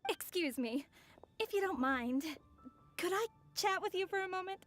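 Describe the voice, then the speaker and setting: A woman's voice: very high voice, lively intonation, moderate pace. A woman playing a polite, friendly young female traveler in a video game, courteous and well-spoken. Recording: music behind the voice.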